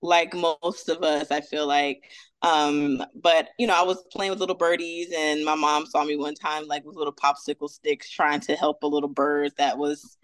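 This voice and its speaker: A woman's voice: low voice, level tone, moderate pace, no echo. A woman in her thirties from Atlanta, a veterinarian guest talking casually and upbeat, with many fillers. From Atlanta, Georgia, US. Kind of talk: casual interview.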